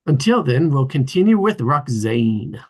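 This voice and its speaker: A man casually hosting an online group read, speaking haltingly and repeating words as he goes. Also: medium pitch, sing-song, breathy edge. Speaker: host of an online script table read.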